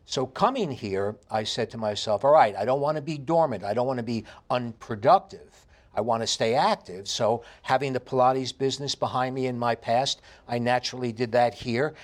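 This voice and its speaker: A man in his fifties with a heavy New York accent, telling his life story in an interview with animated emphasis. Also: mid-range voice. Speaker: interview guest. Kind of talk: candid conversational interview.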